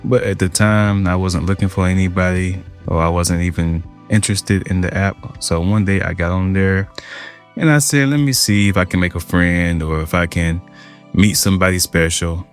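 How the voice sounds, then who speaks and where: A man's voice: deep voice, normal speed. A man telling his personal story in an interview in an earnest, heartfelt way. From Georgia, USA. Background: background music.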